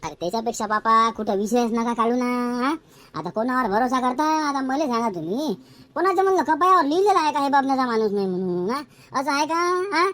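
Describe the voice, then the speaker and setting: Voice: medium pitch, lively intonation, quick pace, fairly bright tone, close to an everyday voice. A performer voicing a fretful, distrustful cartoon villager who keeps questioning, with a rural Varhadi Marathi accent. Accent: rural Maharashtra, Varhadi Marathi. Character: anxious, cautious villager in a comic cartoon. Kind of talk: comic cartoon dialogue.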